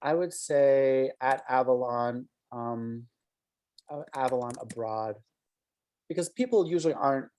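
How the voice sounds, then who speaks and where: A woman's voice: a bass voice, unhurried, warm tone. A woman acting as an interview coach, asking probing questions and thinking aloud as she guides a mock interview.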